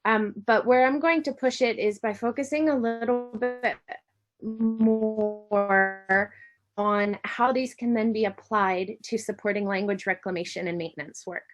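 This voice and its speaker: A woman's voice: mid-range voice, unhurried, faint music behind. American woman giving an online lecture. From United States. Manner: friendly, with frequent ums and self-corrections. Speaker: university lecturer. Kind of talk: online academic presentation.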